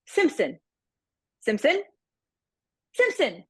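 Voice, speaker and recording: A woman's voice: sits high, wildly swooping pitch, drawn-out words, dry recording, clean studio sound, full character voice. An actress playing a mild, obliging young lady in a radio play, speaking in a tentative, careful way. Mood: haughty practice.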